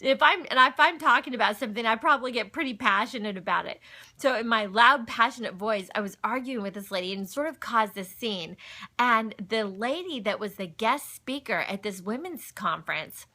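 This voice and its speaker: A middle-aged woman with a high, very bright, forceful voice. She chats casually like a livestream host, telling personal stories and talking faith. Her manner is folksy and direct to her viewers. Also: animated, normal speed. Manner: chatty, folksy, breaks off to greet and answer viewers. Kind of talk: casual chat, personal storytelling and faith talk. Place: at home. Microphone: phone.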